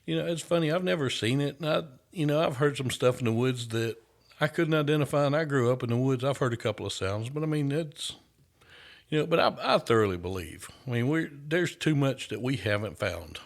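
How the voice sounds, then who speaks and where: A man's voice: mid-range voice, expressive, fairly quick, vocal fry. A man from the United States hosting a casual chat show, easygoing and rambling, saying "y'all". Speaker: host.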